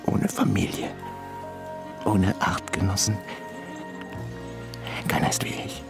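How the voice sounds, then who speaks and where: A lonely monster, part ape and part human, in a radio play. The voice is low and crackles with fry, often slipping into breath as it muses in broken phrases. Music plays clearly behind it. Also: male voice, wildly swooping pitch, heavily acted. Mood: brooding, musing. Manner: fragmented, halting, introspective phrases. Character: lonely brooding male ape-human hybrid creature, self-declared monster. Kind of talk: literary radio drama monologue.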